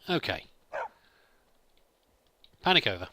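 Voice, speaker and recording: Male let's play host, very bright tone, improvised in-character musing to a companion, slight background noise. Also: lowish voice, big pitch swings, takes it slowly. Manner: musing aloud, addressing a companion. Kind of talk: improvised in-character game commentary.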